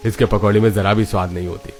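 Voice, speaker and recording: A man's voice: lowish voice, level tone, quick pace, darkish tone, close to an everyday voice. A man voicing a kindly, chatty older railway station master in a cartoon story, with faint music behind. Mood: consoling.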